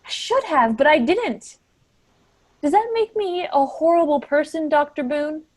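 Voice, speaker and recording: A guilt-ridden, easily wounded female office worker, played by a woman in a staged reading. Her voice sits fairly high. The sound is dry, with almost no room around it. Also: animated, unhurried, no room sound.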